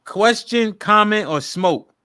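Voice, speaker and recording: A man's voice: sits high, animated, takes it slowly, sharp tone, clean tone. A man hosting a live-stream podcast, reading out paid chat messages in loose, slangy talk, laughing often and repeating words for emphasis. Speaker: podcast host reading super chats.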